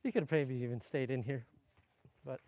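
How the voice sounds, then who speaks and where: A British man teaching a kung fu class, calling out energetic instructions to the group. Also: mid-range voice, a little fast, no echo. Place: training ground at a mountain retreat.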